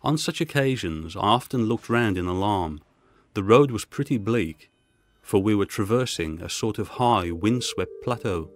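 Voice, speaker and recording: A man's voice: fairly low pitch, lively intonation, moderate pace. A man reading a Gothic short story as a scripted audiobook narration, with music behind him.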